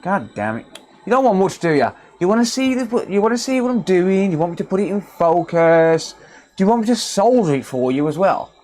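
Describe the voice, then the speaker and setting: A man's voice: sits high, very animated, a little fast, darkish tone. A man chatting casually on a live stream while repairing a console, sarcastic and swearing often as he answers his chat.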